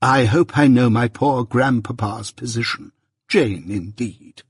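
A haughty mother of genteel pretensions, acted out by a man reading a storybook. The voice is very husky and closely recorded, imperious and easily affronted as it orders the household about. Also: medium pitch, unhurried, no echo, clean studio sound. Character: haughty, indignant gentlewoman mother scolding her household.

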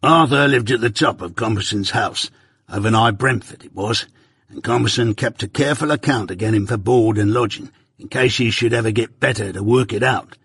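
A man voicing a rough older male ex-convict recounting his past in rustic dialect, confiding and plain. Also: on the low side, fairly quick, a bit bright, no room sound, clean studio sound, heavily acted.

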